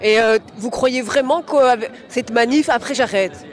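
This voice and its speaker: Brief: high-pitched man, probing vox-pop interviewer, crowd faintly behind. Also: normal speed. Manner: probing, prompting follow-up questions. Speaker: street interviewer. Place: outdoor street demonstration.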